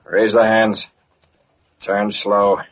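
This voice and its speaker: An actor playing a calm, commanding man with clipped authority in an old radio crime drama. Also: on the low side, very slow. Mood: cool menace. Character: calm, commanding masked man, terse and menacing. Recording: muffled, noisy vintage radio recording.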